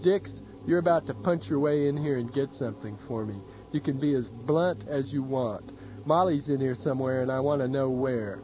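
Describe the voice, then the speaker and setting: A man voicing a tense young male hacker, talking clipped, blunt and fast. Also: medium pitch, highs cut off, slight background noise, lightly acted. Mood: urgent. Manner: clipped, quick, urgent.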